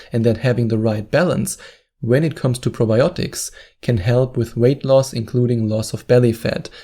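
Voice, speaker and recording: German man with a mellow voice. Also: mid-range voice. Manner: earnest, emphatic, repeats key points. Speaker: weight-loss video host. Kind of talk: direct-to-camera advice talk.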